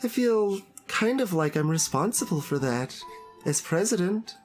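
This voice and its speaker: Woman playing sweet earnest club president girl in a visual novel, kinda low voice, soft hesitant confiding delivery, faint music behind. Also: expressive, measured pace. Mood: gentle concern. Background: background game music.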